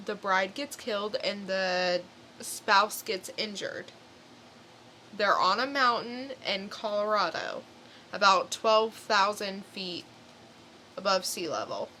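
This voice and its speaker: A woman's voice: mid-range voice, animated, takes it slowly, sharp tone, a little hiss or hum, rough recording. A woman co-hosting a podcast, chatting casually as she shares stories. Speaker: podcast co-host. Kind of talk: casual chat, telling news stories.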